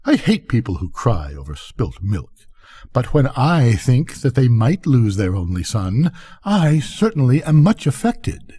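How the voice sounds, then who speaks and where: Storybook firework rocket, played by an older man: self-important, easily offended, theatrical, husky, highly animated. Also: a little slow. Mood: huffy. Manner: pompous, indignant, declamatory. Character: vain, pompous, self-pitying male firework rocket.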